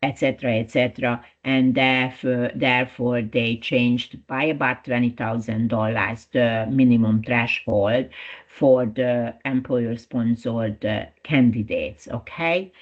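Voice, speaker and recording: A woman with a Hungarian accent, a principal lawyer presenting a webinar in an unhurried way, with frequent fillers and restarts. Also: extremely low pitch, steady tone, muffled recording.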